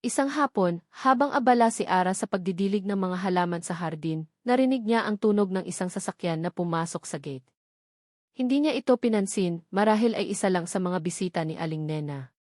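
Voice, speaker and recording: A woman's voice: mid-range voice, a little mellow, no echo, clean studio sound. A woman reading scripted narration of a romance story as its narrator.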